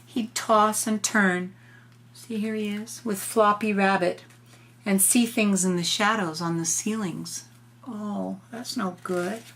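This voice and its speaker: An older woman, a grandmother, reading a picture book aloud to a child in a gentle, explanatory way with informal asides. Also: mid-range voice, fairly even intonation, slow.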